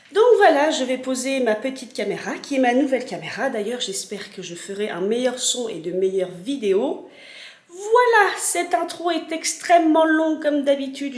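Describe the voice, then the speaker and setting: Chatty woman with a cold, echoey kitchen. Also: on the high side. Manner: chatty, rambling, many fillers. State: stuffy from a cold. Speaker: vlogger. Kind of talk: casual unboxing chat. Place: home kitchen.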